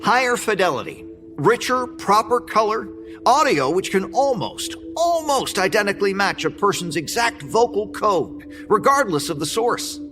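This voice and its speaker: High-pitched, grandiose showman presenter. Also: very animated, music in the background. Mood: grand, showy. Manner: grandiose, dramatic pauses. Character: showy, slick male presenter, inventor's grandson. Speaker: event presenter. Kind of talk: theatrical audio drama, keynote showman.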